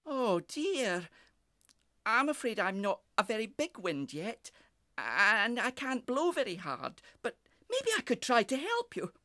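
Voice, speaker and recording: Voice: mid-range voice, wildly swooping pitch, measured pace, sharp tone, no room sound, clean studio sound, pitched above the performer's own voice, heavily acted. A narrator voicing a small, eager, polite young boy breeze in a children's story, with an earnest, cheery manner. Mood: earnest, pleading.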